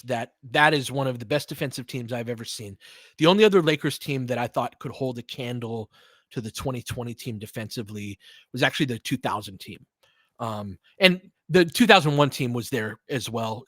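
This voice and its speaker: A man's voice: medium pitch, animated, fairly quick, a bit bright, dry recording. A man doing casual, conversational live basketball commentary as a play-by-play host, breaking down the action like an analytical fan and chatting with listeners. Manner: conversational, analytical fan talk with mild slang.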